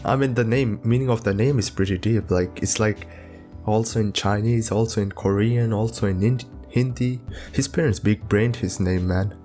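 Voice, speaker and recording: Laid-back young man, lowish voice. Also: moderate pace, dark tone, soft background music. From Pakistan. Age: young adult. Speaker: reaction video host. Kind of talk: casual reaction commentary.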